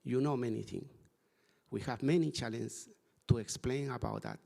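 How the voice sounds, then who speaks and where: Man, English, gravelly voice, middle pitch, halting earnest panel talk. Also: warm tone. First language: Spanish. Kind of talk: panel discussion. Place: conference hall.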